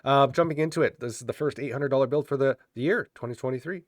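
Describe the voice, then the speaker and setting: A man hosting a casual rundown of a budget gaming PC build, slightly nasal from a cold, talking in a relaxed, hesitant way with many fillers and restarts. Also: medium pitch, quick pace, dry recording, studio quality. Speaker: host of a PC build channel.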